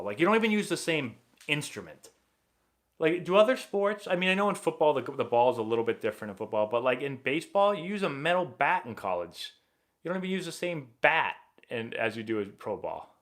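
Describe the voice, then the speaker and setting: A young man hosting a live baseball stream, chatting casually and rambling excitably as he answers viewer questions. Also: medium pitch, fairly quick. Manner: rambling and excitable, many fillers and restarts.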